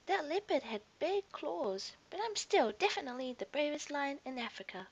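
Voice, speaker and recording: A woman's voice: high-pitched, animated, normal speed, dull audio, voice pushed up. A woman voicing a plucky, boastful little male lion cub in a storybook, bold and self-important. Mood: shaken but proud.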